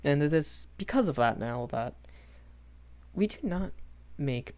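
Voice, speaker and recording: A man's voice: fairly high pitch, animated, measured pace, a little mellow. A young man playing a shy, kindly magic academy professor in a rambling audio roleplay, stammering and hesitant. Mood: flustered, reassuring. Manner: hesitant, stammering, nervous chuckles, apologetic.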